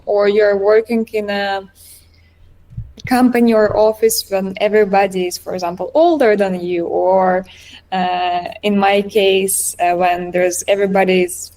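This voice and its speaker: A woman's voice: medium pitch, warm tone, rough recording. A woman from Estonia, answering conversationally and earnestly as an interview guest. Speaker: interview guest, app founder.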